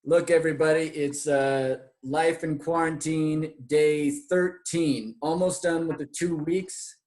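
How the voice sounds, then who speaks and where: Informal American man hosting, higher-pitched voice. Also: fairly even intonation, slow, darkish tone. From San Francisco Bay Area, US. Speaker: host. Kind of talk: casual video chat interview.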